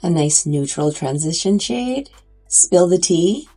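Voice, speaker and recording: A woman's voice: on the low side, animated, takes it slowly, mellow, husky, faint music behind. A congested woman chatting casually and enthusiastically in a makeup review video. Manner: chatty, enthusiastic about swatches. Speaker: beauty vlogger.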